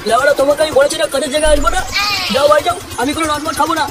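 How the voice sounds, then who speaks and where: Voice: high voice, flat delivery, very fast, over a noisy crowd, natural voice. A performer voicing a grumpy, foul-mouthed old mason in a comedy cartoon, bossy and scolding in manner. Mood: angry. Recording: noisy, distant-sounding cartoon soundtrack.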